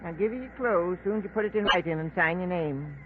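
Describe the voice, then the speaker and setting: A man's voice: high voice, fast, close to an everyday voice. An actor playing a crafty, grasping old backwoods island man with a folksy, haggling drawl in a 1940s radio drama. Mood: shrewd. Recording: tinny, noisy 1940s radio recording.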